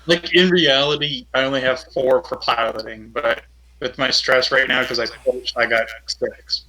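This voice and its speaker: A man's voice: mid-range voice, steady tone, normal speed, sharp tone, rough recording. A man playing a tabletop role-playing game and talking casually about his moves and rolls.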